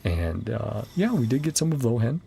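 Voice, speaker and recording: A man's voice: medium pitch, very animated, moderate pace, gravelly, warm tone, a little hiss or hum. A man chatting casually as a game streamer, enthusiastic and rambling as he thinks aloud. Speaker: game streamer reacting to a story quest. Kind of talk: casual chatty reaction commentary.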